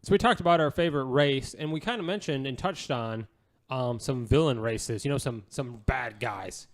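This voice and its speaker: Mid-pitched man hosting a casual discussion. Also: moderate pace. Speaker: podcast host. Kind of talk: casual moderating and chat.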